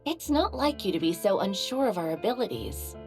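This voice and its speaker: A voice actress playing a calm female squad leader who speaks in a measured, reassuring way. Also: mid-range voice, faint music behind, natural voice. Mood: steady.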